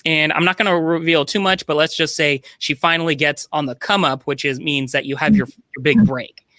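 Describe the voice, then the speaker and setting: A man talking casually and conversationally as a panel guest pitching a book, in an animated way. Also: fairly quick, dry recording.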